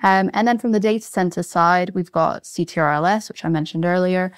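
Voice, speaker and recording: A woman's voice: lowish voice, brisk, clear, resonant tone, dry recording, studio quality. A woman who grew up in Asia, a managing director on a podcast, chatting upbeat and reeling off long lists of names. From Asia (born in Singapore, grew up in Hong Kong and Japan). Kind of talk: casual podcast chat.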